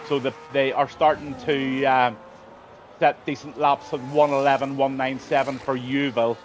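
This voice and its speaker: Brief: middle-aged male sim racing commentator, mid-pitched, relaxed and chatty, voice slightly tired, light background hiss. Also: moderate pace. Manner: chatty, friendly, low-key commentary. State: slightly strained voice. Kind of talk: live stream race commentary.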